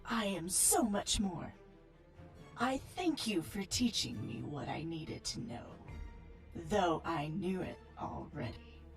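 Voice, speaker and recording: A man's voice: sits high, sing-song, takes it slowly, faint music behind, pitched above the performer's own voice, full character voice. A man voicing a cold, treacherous young sorceress wife in a spoken horror story, her hard words spat out. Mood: cold, scornful.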